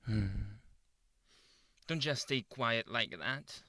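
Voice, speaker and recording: A man playing a grumpy, possessive yandere boyfriend in an ASMR roleplay, brooding and theatrical, often dropping into breathy whispers close to the mic. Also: mid-range voice, expressive, takes it slowly, pushed and bright, no room sound. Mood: pleading, indignant. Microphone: close ASMR microphone.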